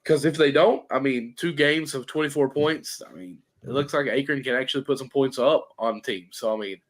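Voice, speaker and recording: A man co-hosting a casual sports podcast, hoarse and congested, rambling and restarting his thoughts. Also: mid-range voice, a little fast. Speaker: podcast co-host.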